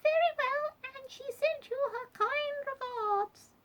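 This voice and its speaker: A woman voicing a cheeky monkey posing as a polite duchess in a squeaky storybook voice. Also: slow pace, noisy background. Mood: squeaky, gracious. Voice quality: squeaky, sometimes breaking into gruff. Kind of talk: children's storybook character voice.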